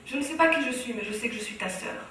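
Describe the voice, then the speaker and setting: A woman from Morocco declaims a slam poem in a fairly high voice, rhythmic and impassioned. She is miked at a distance in a very echoey hall, with faint noise underneath. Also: lively intonation, fast talker, pushed and bright. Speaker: speaker. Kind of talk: spoken-word slam recital. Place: large hall on stage.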